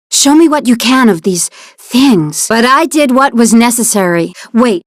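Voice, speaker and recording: A woman's voice: fairly high pitch, dry recording. A woman voicing a headstrong young elf princess. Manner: defiant and resolute, with dry quips. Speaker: voice actor. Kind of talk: game character voice acting.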